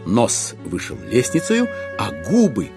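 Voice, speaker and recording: A male narrator with a mid-pitched, highly animated voice delivers scripted literary prose. His manner is wry and theatrical, and faint music sits behind him. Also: unhurried. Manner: expressive, wry.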